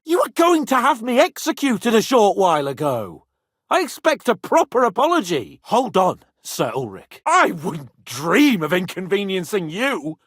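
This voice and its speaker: A proud, hot-headed young knight of noble birth for a medieval video game. His voice is husky and swings widely from sardonic sneers to imperious outbursts, all captured very dry and close. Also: very high-pitched, fairly bright tone, no echo, full character voice. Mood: outraged. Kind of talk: dramatic video game voice acting.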